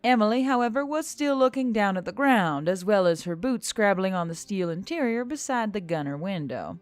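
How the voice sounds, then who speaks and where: A woman narrates a piece of fiction from a script, in audiobook fashion. Her pitch is average, and the recording is dry with little room sound, with music running behind her. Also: expressive, normal speed, dry recording.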